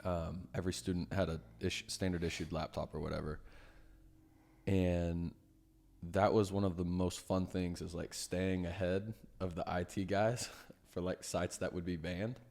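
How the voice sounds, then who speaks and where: A man's voice: low-pitched, level tone, moderate pace, vocal fry, a little mellow. A man in his thirties co-hosting a podcast in casual chat, joking and laughing often. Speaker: podcast co-host.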